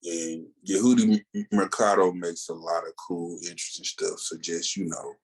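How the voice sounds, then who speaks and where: Deep-voiced, laid-back man on a podcast. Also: steady tone, moderate pace, husky, low-quality recording. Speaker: podcast co-host and reviewer. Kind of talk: casual chat.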